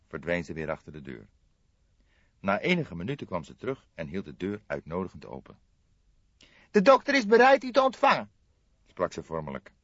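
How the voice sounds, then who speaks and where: A man's voice: low voice, a bit bright. A man reading a detective novel as scripted audiobook narration. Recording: dull, roomy sound.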